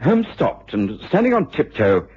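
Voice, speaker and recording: An actor playing a measured gentleman narrator recalling a case in a radio drama, with a husky voice. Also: medium pitch, huge pitch swings, faint music behind, full character voice. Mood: measured, storytelling. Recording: muffled radio recording.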